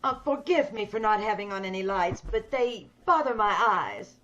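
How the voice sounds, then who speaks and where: A woman playing a sinister, hideous schemer in an old-time radio horror play, speaking with measured, coolly polite menace. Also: mid-range voice, animated, background noise, close to an everyday voice. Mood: coolly courteous. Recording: dull video-call radio play recording.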